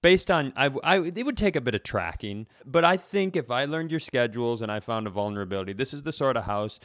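An American man chats casually as a comedy podcast co-host. His voice sits at a middle pitch with a dry, roomless sound, and he rambles into digressions as he talks. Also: animated, a little fast, a bit bright, dry recording. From Minnesota, United States.

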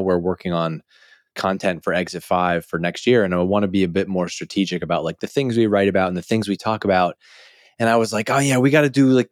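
A male podcast host with a fairly low voice and a close, dry sound. He chats informally and with emphasis, breaking off and rephrasing as he goes. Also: dry recording. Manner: informal, emphatic, restarts thoughts mid-sentence. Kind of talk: casual interview chat.

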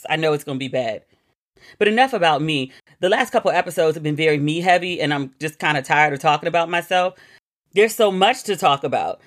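A woman's voice: low-pitched, a bit bright. A woman hosting a podcast by herself, chatting casually and gushing playfully as she talks. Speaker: podcast host. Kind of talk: casual solo podcast chat.

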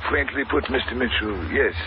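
An actor playing a suave, menacing villain with oily courtesy and formal, precise diction, in a 1950s radio drama. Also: male voice, mid-range voice, moderate pace, close to an everyday voice. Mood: smooth menace. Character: suave, menacing male villain posing as perfume dealer. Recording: dull old radio recording, noisy.

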